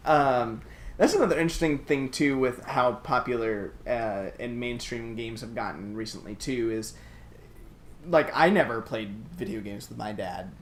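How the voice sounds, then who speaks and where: A man co-hosting a casual gaming podcast in a middle-pitched voice. He is opinionated and emphatic, and there is faint noise behind him. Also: normal speed. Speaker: podcast host. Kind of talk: casual podcast chat about video games.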